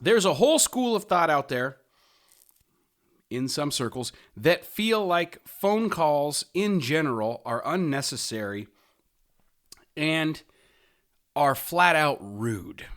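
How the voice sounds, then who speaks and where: A man's voice: on the high side, big pitch swings, fairly bright tone. A man hosting a podcast in a casual, opinionated monologue, stressing words emphatically and rambling into wry asides. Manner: emphatic, drawn-out stresses, mild swearing. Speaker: podcast host.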